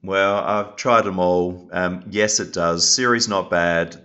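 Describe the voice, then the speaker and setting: A man's voice: low voice, fairly even intonation, slow pace, sharp tone, clean tone, muffled recording. An Australian man speaking as a podcast guest, casual and dry-humored. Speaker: guest, company CEO.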